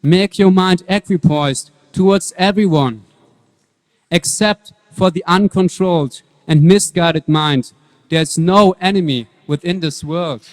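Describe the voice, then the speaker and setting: A wise, fearless boy prince played by a grown man in a staged scripture drama. He preaches earnestly and calmly in a highish voice, with long hall reverb around him. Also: measured pace.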